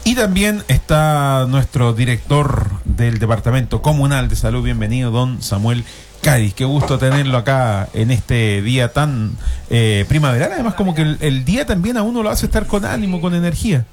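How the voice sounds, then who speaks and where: Chilean radio host with formal delivery. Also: male voice, mid-range voice, lively intonation, moderate pace, darkish tone, a little hiss or hum. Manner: formal, with frequent fillers. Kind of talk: radio panel hosting.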